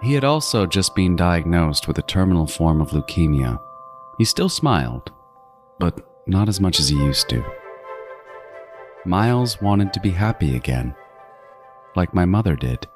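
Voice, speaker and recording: A man acts a meticulous serial killer narrating his own horror tale. The voice is extremely low, measured and brooding, lingering fondly over every word, with music underneath. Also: big pitch swings. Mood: fondly reminiscing. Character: meticulous adult male serial killer obsessed with teeth, coldly fond. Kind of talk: first-person horror audio drama narration. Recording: music behind the voice.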